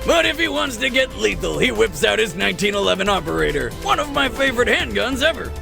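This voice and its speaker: A man narrates in a high, heavily creaky voice that swings widely in pitch. His scripted delivery is hyped, punchy and comedic, like a brash co-host of a game show breakdown. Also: big pitch swings, quick pace, over music.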